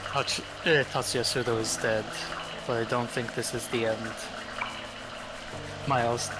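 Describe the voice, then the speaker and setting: Stoic teenage boy over loud music, man voicing. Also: medium pitch, level tone, a little slow, lightly acted, poor audio. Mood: pensive. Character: weary, stoic teenage boy narrating his thoughts. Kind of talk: scripted visual novel narration. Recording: music playing behind the voice.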